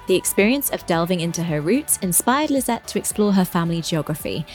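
A woman's voice: lowish voice, animated, a little fast, soft background music. A woman hosting a podcast, reading an introduction and asking upbeat interview questions. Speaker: podcast host.

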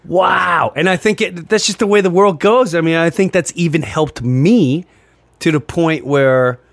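A man hosting a podcast in casual chat, telling stories in an animated way and acting out what others said. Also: fairly high pitch, measured pace, no room sound. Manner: animated storyteller, acts out quoted remarks. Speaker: podcast host and comedian.

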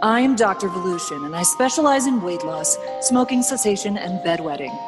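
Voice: medium pitch, expressive, moderate pace, natural voice. Smarmy hypnotist ad, played by an actor: slick read, music, big reverb. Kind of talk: parody radio advert.

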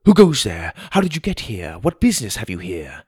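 A man voicing a fussy, chatty male fairy guard who rattles off rules rapid-fire. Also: lowish voice, huge pitch swings, darkish tone, breathy edge, no room sound, clean studio sound, exaggerated voice. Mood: challenging.

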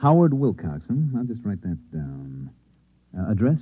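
Husky, patient barroom skeptic, tinny radio. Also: male voice, lowish voice, very animated, unhurried, heavily acted. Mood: probing, humoring. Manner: probing, humoring, hesitant with uhs. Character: patient, skeptical man questioning a stranger in a bar. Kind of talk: old-time radio drama acting. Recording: tinny, narrow old radio recording.

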